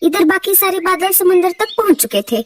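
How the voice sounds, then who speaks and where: A woman's voice: very high voice, lively intonation, brisk, far from the mic, slight background noise. A woman reading scripted narration for a children's cartoon story.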